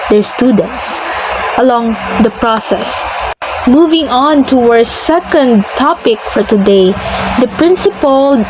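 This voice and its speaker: A woman whose first language is Filipino presents a lesson at a slow, deliberate pace. She often stops to repeat and correct herself, and her voice sits a little high. Steady traffic noise runs under the whole recording. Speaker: student presenter. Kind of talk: classroom slide presentation. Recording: noisy with traffic behind.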